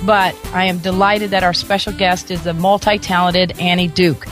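Husky-voiced woman hosting a radio show. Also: fairly low pitch, level tone, moderate pace, music in the background. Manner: chatty, playful quips. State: hoarse from laryngitis. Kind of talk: upbeat radio interview chat.

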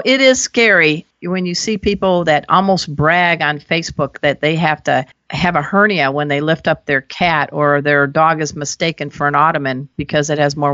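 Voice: medium pitch, fairly bright tone, no room sound. A talk-show host asking interview questions in a chatty, jokey way, with folksy turns of phrase. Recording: dry, muffled lo-fi audio.

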